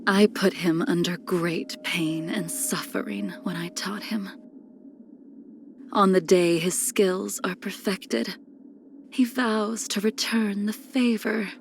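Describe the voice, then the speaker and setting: A woman playing a cold, ageless, melancholy swordswoman in a video game, speaking in formal, solemn phrases that trail into breathy near-whispers. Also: mid-range voice, fairly even intonation, slow, a little hiss or hum. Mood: firm, instructive. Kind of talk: scripted video game character lines.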